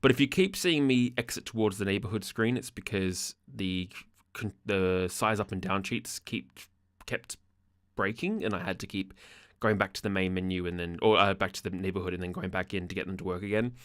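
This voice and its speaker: A young man with a croaky, gravelly voice from a sore throat, rambling casually with lots of ums and laughs over a game build. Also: low voice, a little fast, fairly bright tone, no room sound, studio quality. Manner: rambling, self-interrupting, frequent ums and laughs. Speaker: gaming YouTuber.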